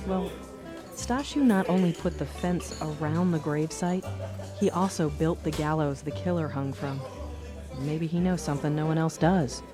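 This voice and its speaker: An actor playing a small-town bartender in a radio play, recounting a local legend in a measured, ominous manner. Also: medium pitch, natural voice. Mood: reflective. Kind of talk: dramatic storytelling in a radio play.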